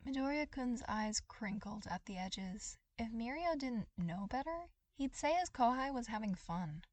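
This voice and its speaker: A woman reading a story aloud as scripted, expressive dramatic narration. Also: a little high, a little slow, no room sound. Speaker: podfic reader.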